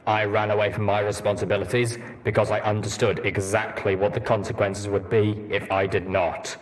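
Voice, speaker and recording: A man voicing a cold, stern armored pony ranger in an audiobook, speaking deliberately and firmly. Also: fairly low pitch, little pitch movement, lightly acted, low-quality recording. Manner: deliberate, firm.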